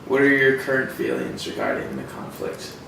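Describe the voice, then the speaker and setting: Gravelly-voiced male interviewer. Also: medium pitch, wildly swooping pitch, normal speed. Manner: plain, questioning, brief. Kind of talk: asking interview questions. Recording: very echoey room, distant mic, noticeable noise.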